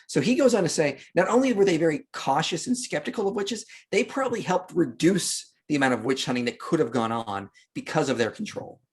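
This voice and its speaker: A man's voice: a little high, slightly rough. A man speaking as a podcast guest, explaining and debunking informally in emphatic, punchy phrases.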